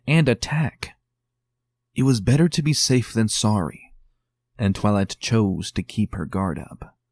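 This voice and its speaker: A man narrating a dramatic reading of a fan story in a measured, steady storytelling manner. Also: lowish voice, creaky, dry recording.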